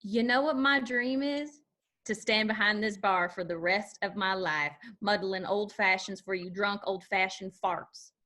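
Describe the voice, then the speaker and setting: A woman playing a quick-witted, plain-spoken young bartender in a screenplay table read, casual and wry. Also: medium pitch, pushed and bright.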